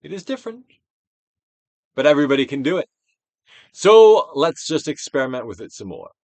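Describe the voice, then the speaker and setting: A man's voice: on the high side, big pitch swings, moderate pace, darkish tone, dry recording. A man giving a theatrical, declamatory dramatic reading of a self-help book, like a stage Shakespearean, drawing out phrases for emphasis. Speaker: audiobook reader, actor.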